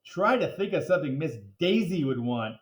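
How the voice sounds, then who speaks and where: A man's voice: on the high side, big pitch swings, normal speed, echoey room. A man reading aloud, voicing a weepy, overbearing older woman who gushes and blubbers over her son. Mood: sweet. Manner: blubbering, gushing.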